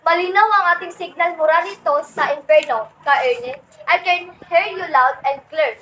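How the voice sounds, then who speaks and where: A woman's voice: very high voice, moderate pace, pushed and bright. A woman playing a dutiful, polite field reporter in a parody radio news play. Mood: polite, reporting. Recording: echoey room, distant mic, faint noise.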